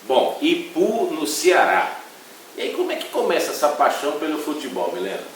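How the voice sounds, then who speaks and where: A man's voice: on the high side, huge pitch swings, normal speed. A man with a Brazilian accent hosting an online football interview show, presenting to viewers and putting questions to a guest. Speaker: sports show host. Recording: very echoey room, distant mic, faint hiss.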